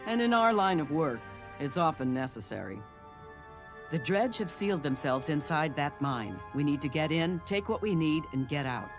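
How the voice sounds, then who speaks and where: A self-assured fantasy spy. The voice is slightly high for a man, with a wry, confident, smooth-talking delivery suited to a video game. Music is clearly audible underneath. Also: animated, normal speed. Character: sly, wry, self-assured secret agent.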